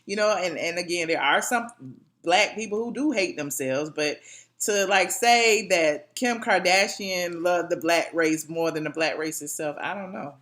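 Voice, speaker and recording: A woman's voice: lowish voice, moderate pace, a bit bright. A woman hosting a call-in live stream, asking callers questions and thanking them. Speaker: livestream host taking calls. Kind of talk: call-in talk show.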